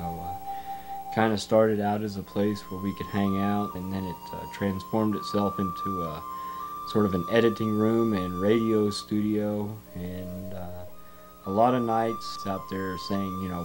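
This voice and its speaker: A man in his forties reminisces in a casual interview. His voice is fairly low, with hesitant pauses, and music plays clearly behind him. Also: steady tone. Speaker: interviewee, former studio member.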